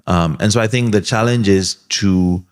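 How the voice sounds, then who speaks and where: Deep-voiced man giving measured advice. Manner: measured, earnest advice with frequent ums. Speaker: alumnus panelist. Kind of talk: panel discussion answers.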